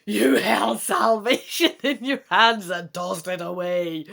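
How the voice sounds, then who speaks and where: A woman voicing a huge, evil red dragon from a gamebook, scornful and gloating, with a roaring, drawn-out delivery. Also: medium pitch, vocal fry, fairly bright tone. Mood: gloating mockery.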